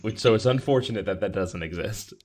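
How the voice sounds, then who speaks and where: A man's voice: on the low side, fairly quick, a little mellow. A man co-hosting a podcast, chatting casually in a playful, rambling way. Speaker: podcast co-host. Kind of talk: casual chat.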